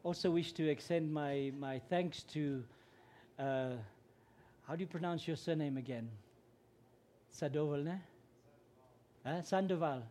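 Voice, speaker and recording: A man's voice: a little high, a little slow, long pauses, slight background noise. Afrikaans-man preaching. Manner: earnest, grows louder and more emphatic when preaching. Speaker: guest preacher. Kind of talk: sermon.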